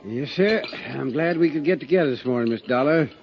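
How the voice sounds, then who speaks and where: An actor playing a gruff, folksy small-town police constable in a 1950s radio drama. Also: male voice, fairly high pitch, animated, brisk, noisy background. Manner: folksy, stubborn, drawling. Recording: dull old radio recording.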